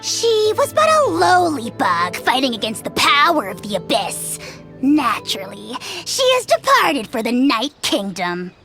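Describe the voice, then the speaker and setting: A woman's voice: high voice, wildly swooping pitch, a little slow, bright, forceful tone, soft background music, exaggerated voice. A woman voicing a tiny, haughty non-human creature who calls itself a dragon lord, speaking grandly in the royal we and mocking others, in a video game. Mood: scornful. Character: tiny pompous self-crowned dragon lord, haughty and theatrical.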